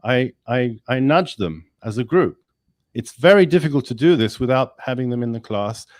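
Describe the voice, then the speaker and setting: Non-native english guy, fired up, keeps restarting, mid pitch, dry sound. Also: moderate pace, no echo. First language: not English. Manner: energetic, emphatic, many restarts. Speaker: guest speaker, engineering professor. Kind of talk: webinar discussion.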